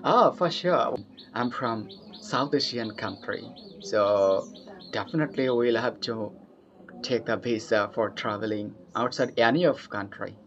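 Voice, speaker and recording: A young man from Bangladesh with a Bangladeshi accent, chatting eagerly in English practice, often laughing and pausing with fillers. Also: medium pitch. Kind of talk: casual conversation practice. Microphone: video call. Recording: dull lo-fi call audio.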